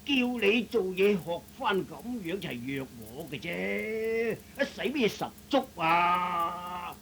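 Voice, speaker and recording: A man playing a gruff, impatient middle-aged shop boss with a creaky voice in a Cantonese comic radio play. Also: sits high, expressive, slow, fairly bright tone, exaggerated voice. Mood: exasperated. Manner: grumbling, blunt, ordering people about. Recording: noisy old radio recording.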